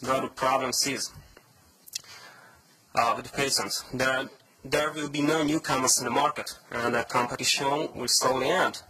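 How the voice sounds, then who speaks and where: A man's voice: mid-range voice, steady tone, normal speed, crowd noise, poor audio. A man with a Hungarian accent giving a conference talk, reading a prepared speech with many hesitations and restarts. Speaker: conference speaker.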